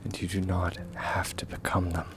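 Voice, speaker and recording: A man voicing a kind, steadfast young warrior in a comfort ASMR roleplay, speaking gently and reassuringly in a measured, often hushed way. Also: sits low, flat delivery, music in the background, close to an everyday voice. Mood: soothing, earnest.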